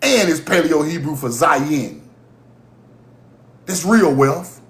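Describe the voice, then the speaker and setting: A man holds forth on a livestream, his high voice climbing as he rants, swears and laughs at his own points. The sound bounces around an echoey room. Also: takes it slowly. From Mississippi. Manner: animated, profane, laughing rants. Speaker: livestream host. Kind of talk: heated livestream monologue.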